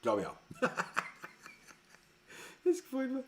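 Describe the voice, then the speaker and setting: A man's voice: very high-pitched, huge pitch swings, takes it slowly, a little mellow, echoey room, slight background noise. A man with a Viennese accent hosting a music livestream, chatting in a rambling, opinionated way and reading viewer comments aloud. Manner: rambling and opinionated, circling back on his words.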